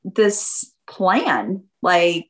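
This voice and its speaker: A woman in her fifties, a guest chatting casually in an interview, animated and acting out what her friends said. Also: extremely slow. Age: 50s. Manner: animated, acts out quoted friends in a higher voice. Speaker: guest entrepreneur.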